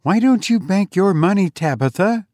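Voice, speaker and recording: A man's voice: high-pitched, expressive, moderate pace, darkish tone, no room sound, clean studio sound, voice pushed up. A man voicing a timid, frail woman who speaks fearfully and pleadingly. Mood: anxious.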